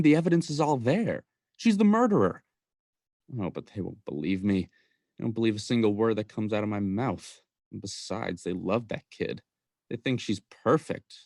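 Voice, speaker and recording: Young man acting an indignant son, lowish voice, self-righteous, emphatic, melodramatic monologue, radio play, dry close sound. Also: a little mellow, no echo. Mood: agitated, then bitter. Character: indignant, self-righteous grieving son, bent on exposing a killer.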